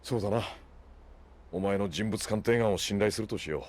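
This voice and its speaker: A man playing a composed, shrewd leader with a cool, dry, measured manner in an anime audio drama. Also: fairly low pitch, a little mellow, lightly acted.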